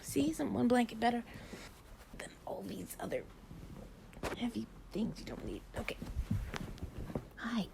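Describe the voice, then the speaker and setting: A woman playing an affectionate, playful girlfriend in an improvised bedtime cuddle audio, speaking softly and breathily, often near a whisper, in a rambling way. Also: on the high side, lively intonation, measured pace, gravelly, long pauses, heavy background noise, full character voice. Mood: tender, playful. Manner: soft, rambling, affectionate, giggly. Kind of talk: improvised intimate relaxation roleplay audio, ASMR-like.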